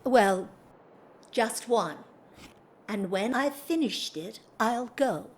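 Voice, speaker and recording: Woman acting a high-voiced, genteel aristocratic lady. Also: animated, takes it slowly. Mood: flustered, firm. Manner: genteel, formal, gently reproving. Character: proper, hesitant aristocratic lady, a married hostess. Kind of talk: stage-style audio drama acting.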